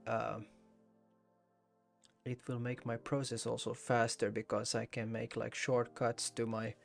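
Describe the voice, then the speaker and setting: A man with a Finnish accent hosts a relaxed photo-editing stream. His voice is mid-pitched and creaky, and he thinks aloud, often hesitating and repeating words. Faint music plays behind him. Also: unhurried. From Finland. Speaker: photography livestream host, street photographer. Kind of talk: casual chat while editing photos.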